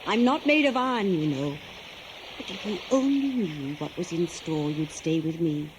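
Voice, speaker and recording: An actress playing a lovelorn, coaxing immortal goddess in a radio play, with a languid, sighing manner. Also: female voice, on the low side, lively intonation, moderate pace, natural voice. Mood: coaxing, wistful. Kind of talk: 1970s radio play acting. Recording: slightly noisy old cassette recording.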